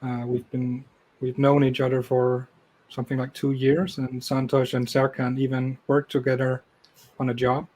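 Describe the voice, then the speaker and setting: A man guest on a developer podcast, explaining things in an even, matter-of-fact way. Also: mid-range voice, normal speed, rough recording. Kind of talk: casual interview talk.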